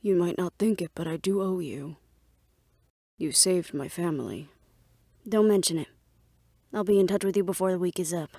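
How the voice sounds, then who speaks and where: A woman acting a guarded, businesslike plotter in an audio roleplay drama, speaking in a measured, serious way. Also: fairly low pitch, vocal fry, warm tone, dry recording, studio quality. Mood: measured, sincere.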